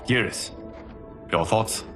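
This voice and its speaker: An actor playing a grave, protective god and leader in a video game cutscene, speaking in a measured, formal way. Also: male voice, music in the background, close to an everyday voice.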